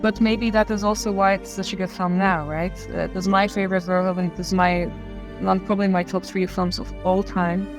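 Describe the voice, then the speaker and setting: A woman's voice: medium pitch, level tone, fairly quick, over music. A woman giving casual, enthusiastic film commentary, repeating and rephrasing her points for emphasis. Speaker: filmmaker discussing films as a guest.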